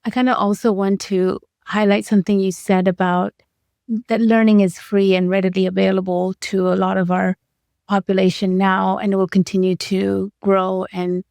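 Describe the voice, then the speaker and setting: A woman co-hosting an interview, speaking deliberately and building long, reflective questions phrase by phrase. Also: medium pitch, level tone, creaky, darkish tone, clear, resonant tone, no echo, studio quality. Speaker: podcast co-host, clinician.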